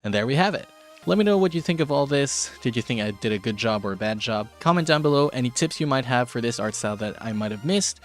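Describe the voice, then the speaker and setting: A man's voice: medium pitch, animated, fast talker. A man hosting a casual, narrated art tutorial in a chatty, informal, self-deprecating way. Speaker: host of an art tutorial show. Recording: background music under voice. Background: music.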